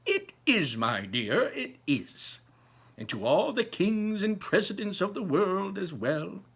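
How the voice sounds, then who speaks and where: A man voicing an excitable old grandfather, a storyteller full of wonder who savors his words with emphasis. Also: sits high, creaky, slightly rough, voice pushed up, full character voice. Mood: excited wonder. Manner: emphatic, savoring slow delivery.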